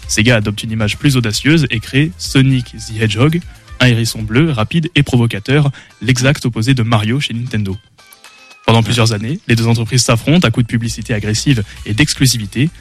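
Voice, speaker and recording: A man's voice: medium pitch, brisk, faint music behind. A young man presenting a prepared gaming segment on student radio, reading a script with frequent hesitations. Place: radio studio. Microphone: studio mic.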